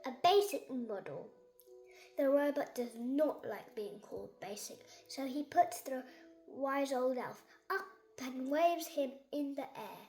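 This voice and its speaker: A woman's voice: high voice, unhurried, a little mellow. A young girl, about six, reading a children's story aloud with careful, sometimes stumbling delivery. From Kerala, India. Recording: echoey room.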